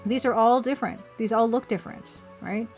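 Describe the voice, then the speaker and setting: High-voiced woman chatting casually over music. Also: a little fast, a little mellow, lo-fi sound. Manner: informal, thinking aloud, reading out chat comments. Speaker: livestream host and pixel artist. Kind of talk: casual chat while drawing on a livestream.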